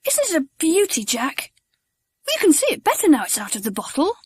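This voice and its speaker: A woman voicing an excitable, cheerful schoolboy in a children's adventure story, eager and exclamatory. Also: high voice, fairly quick, no echo. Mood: excited.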